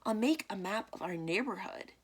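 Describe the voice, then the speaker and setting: A woman's voice: mid-range voice, expressive, moderate pace, husky, voice pushed up. A woman playing an eager, curious little girl explorer in a children's storybook. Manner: eager and inquisitive.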